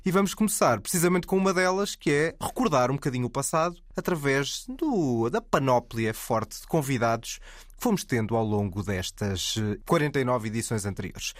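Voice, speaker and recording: A man from Spain co-hosting a casual film podcast, brisk and precise in how he explains things. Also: medium pitch, lively intonation, no room sound, clean studio sound. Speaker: podcast co-host.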